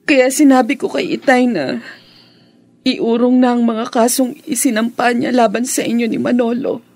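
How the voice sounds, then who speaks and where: An actress playing a remorseful, unfaithful lover in a Filipino radio drama, pleading and hesitant. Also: female voice, on the high side, fairly even intonation, moderate pace, darkish tone, no echo.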